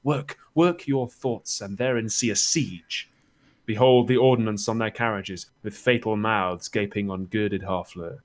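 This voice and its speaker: A man's voice: on the low side, unhurried, close to an everyday voice. A man reading a theatrical, exhortatory stage chorus who narrates a war in Shakespearean verse. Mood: urgent, rousing.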